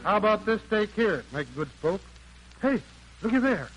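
A man playing an excitable, quarrelsome American gold-rush prospector in a rustic 1940s radio play. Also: high voice, normal speed. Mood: excited wonder. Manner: rustic, exclamatory, combative. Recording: noisy, humming 1940s radio recording.

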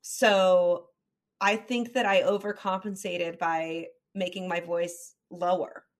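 A woman's voice: fairly low pitch, steady tone, takes it slowly. A young woman with a light Southern Californian accent hosting a podcast, chatting candidly and laughing often. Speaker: podcast host. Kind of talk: casual chat.

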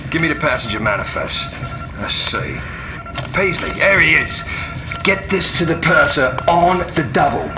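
An actor playing a brisk, commanding male ship's officer in a radio drama. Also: a little high, animated, music in the background.

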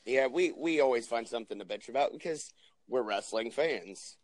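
Hoarse young male co-host, loose and profane, dry close sound. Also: medium pitch, expressive, moderate pace, no echo. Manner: joking, profane, rambling plugs. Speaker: podcast co-host. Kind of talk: casual chat.

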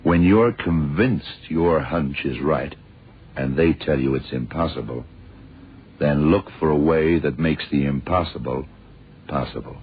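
An actor playing a dogged senior investigator, insistent and deliberate, in a 1970s radio drama. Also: male voice, a bass voice, slightly rough, full character voice. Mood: lecturing, confident. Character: dogged, hunch-driven senior male investigator, dryly authoritative. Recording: tinny vintage radio recording with music.

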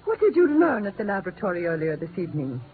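A woman's voice: medium pitch, big pitch swings, fast, close to an everyday voice. Earnest woman played by an actress. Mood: defensive. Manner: formal, earnest. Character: earnest, defensive South American woman at clinic. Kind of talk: 1950s radio drama acting. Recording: noisy, muffled 1950s radio recording.

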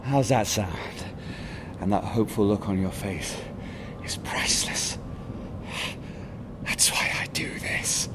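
An actor playing a calm, sadistic male killer who taunts his captive in a soft, mocking, coldly polite way. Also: on the low side, slow pace, pauses often, background noise. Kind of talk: audio drama acting.

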